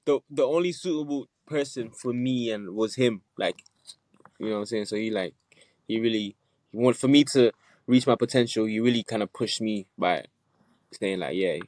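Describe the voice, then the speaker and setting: A man's voice: lowish voice, normal speed, darkish tone, dry recording. A man from Nigeria, a musician guest, talking laid-back and laughing often. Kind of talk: casual interview chat.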